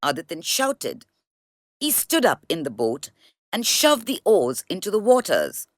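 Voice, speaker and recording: A woman reads a children's story aloud as narrator. Her delivery is slow and deliberate, with extremely animated pitch, and the recording is close and dry. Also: no room sound.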